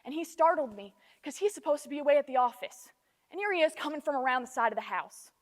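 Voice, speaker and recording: Troubled, hesitant Southern wife, played by a teenage female, high-pitched, stage storytelling swelling with emotion, big echoey hall. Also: expressive, quick pace. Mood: shaken recollection. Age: teens. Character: distraught, hesitant Southern wife recounting a violent confrontation. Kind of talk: dramatic stage monologue. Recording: echoey hall with long reverb.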